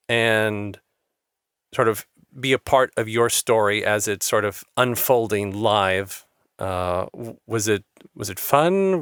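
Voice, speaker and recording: A man hosting an interview podcast, talking in a halting way with many restarts and fillers. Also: lowish voice, a bit bright, smooth, no echo, studio quality. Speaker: podcast host. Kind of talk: interview chat.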